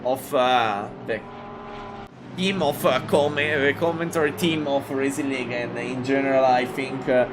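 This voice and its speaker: A man from Italy with an Italian accent, calling a live sim race in halting English with many fillers and excited jumps. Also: medium pitch, background noise. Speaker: race commentator. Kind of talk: live sim racing commentary.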